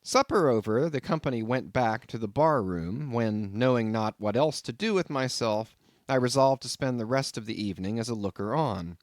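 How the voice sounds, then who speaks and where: A man reading a classic novel aloud as scripted narration, in a measured, expressive storytelling manner. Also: mid-range voice, dry recording, clean studio sound. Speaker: audiobook narrator.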